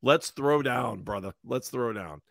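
A middle-aged man ribbing his co-host in sarcastic, joking banter. His voice sits at an ordinary pitch and is recorded close and dry on a headset setup at home. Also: a little slow, fairly bright tone, no echo. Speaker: podcast co-host. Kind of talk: casual sports podcast banter. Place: small home room. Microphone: headset and desk microphone on video call.

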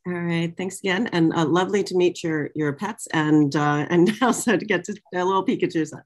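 A woman's voice: fairly low pitch, a little fast. A middle-aged woman moderating a casual conversation on a video call from a small office. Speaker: interviewer and moderator. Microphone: video call computer microphone.

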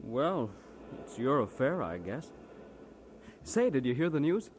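An actor playing an eager young pal, breezy and persuasive, in an old radio drama. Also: male voice, mid-range voice, sing-song, a little slow, noisy background. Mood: confiding, persuasive.

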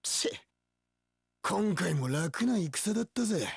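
An actor playing a cocky, cool young samurai warlord in a video game, with rough tough-guy speech and English catchphrases. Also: sits high, lively intonation, slow pace, dry recording, clean studio sound, exaggerated voice. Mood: cocky, taunting. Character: cocky, cool young male samurai warlord, swaggering one-eyed dragon. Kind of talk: video game battle voice lines.

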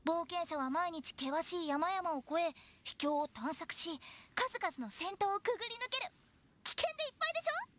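An actress playing a playful, teasing young funeral director in an anime game, with theatrical, sing-song sales talk. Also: female voice, very high-pitched, animated, normal speed, a bit bright, slight background noise. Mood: persuasive. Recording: clean studio voice over game music.

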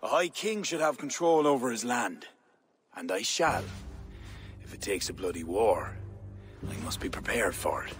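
A man's voice: mid-range voice, lively intonation, normal speed, pauses often, fairly bright tone, soft background music, close to an everyday voice. An actor playing a proud, shrewd middle-aged King, speaking formally and with measure in a video game. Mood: resolute. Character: proud, stately, shrewd Irish High King. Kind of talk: video game cutscene acting.

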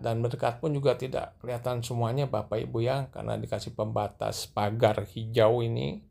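Man, middle-aged, gravelly voice, flat delivery, walking tour narration, gentle, filmed on the move. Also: medium pitch. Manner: gentle, repeatedly addressing viewers as sir and madam. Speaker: vlogger guiding viewers. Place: large mosque, indoor escalators then open rooftop at night.

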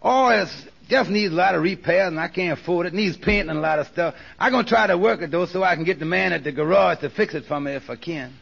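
An earnest, sensible friend in 1940s radio comedy. The voice is high and gravelly, with a plain-spoken, fretful and folksy delivery, heard on a muffled vintage recording. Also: male voice, fast, hardly any pauses, fairly bright tone, full character voice. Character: earnest, sensible, cautious working-man friend.

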